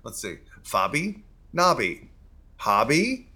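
A man's voice: mid-range voice, very animated, slow, bright, forceful tone, slightly rough, exaggerated voice. An actor playing a nervous, stammering male theater composer in an audio comedy. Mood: flustered.

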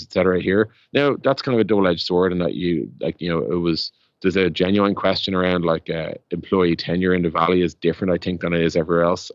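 A very low-pitched man with an Irish accent talks in a muffled, echoey recording. He is an interview guest explaining business in a conversational, rambling way, dropping in "like" and "you know" as he goes. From Ireland. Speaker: interview guest, tech startup co-founder.

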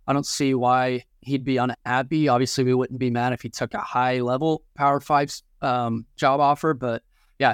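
Smooth, clear man chatting about sports. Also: medium pitch, dry recording, studio quality. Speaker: sports podcast co-host. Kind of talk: casual sports talk.

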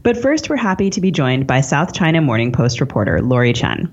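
A woman's voice: a bass voice, very animated, fairly quick, a little mellow, slightly rough, highs cut off. An American woman co-hosting a podcast, introducing and questioning guests in a conversational style. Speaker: podcast co-host, interviewer.

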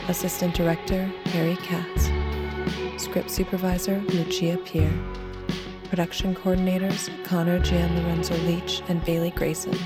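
A woman announcer reads scripted credits in a flat, measured way. Her voice is on the low side with audible fry, and loud music plays beneath it. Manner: measured, matter-of-fact. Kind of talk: scripted credits and sponsor read. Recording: loud music bed under voice.

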